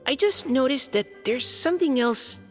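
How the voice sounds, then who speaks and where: A man's voice: extremely high pitch, lively intonation. An actor playing an earnest young male knight who reads a formal letter aloud with care and halts when flustered. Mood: steady reading. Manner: careful, formal reading, halting when flustered. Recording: game cutscene with reverb and music.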